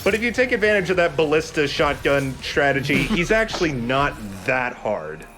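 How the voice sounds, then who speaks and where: Lively man doing casual game commentary. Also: a little high, moderate pace, soft background music. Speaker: let's play host and gamer.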